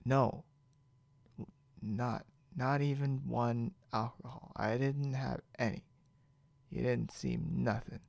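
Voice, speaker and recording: A man playing a stubborn, boastful, confused drunk young man in an ASMR roleplay, halting and rambling with mumbled, repeated words. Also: medium pitch, steady tone, darkish tone, no room sound. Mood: indignant. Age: young adult. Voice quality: gravelly, creaky.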